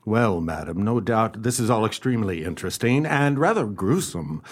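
Radio drama: courtly, self-satisfied male sleuth, played by an actor, formal and pompous, very animated pitch. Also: moderate pace, breathy edge. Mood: polite, curious. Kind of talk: radio play acting with first-person narration.

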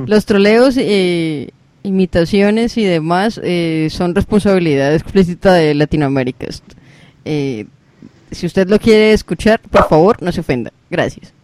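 A woman's voice: lowish voice, measured pace, a little mellow, slight background noise. A woman co-hosting a casual group podcast, talking in a rambling, hesitant, joking way. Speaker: podcast co-host.

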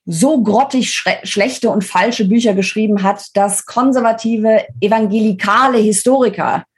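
A woman's voice: medium pitch, moderate pace, slightly rough. A woman giving articulate explanations as an interview guest, stressing key words. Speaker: interview guest, book author. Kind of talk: explanatory interview talk on a podcast.

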